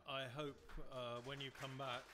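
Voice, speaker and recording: A man's voice: medium pitch, little pitch movement, moderate pace, very noisy. A man moderating an interview, asking probing questions with restarts.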